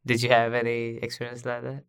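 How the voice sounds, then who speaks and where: A man's voice: mid-range voice, brisk, smooth, no echo, studio quality. A young man from Sri Lanka, a native Sinhalese speaker, chatting casually as a podcast guest with lots of "like" fillers. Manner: relaxed, filler-heavy, says "like" often.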